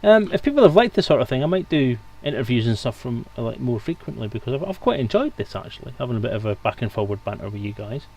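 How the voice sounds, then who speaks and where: A man's voice: mid-range voice, big pitch swings, fast talker, few pauses, a little mellow. A man hosting a livestream in casual, chatty, friendly banter with guests. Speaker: stream host. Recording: clear background noise.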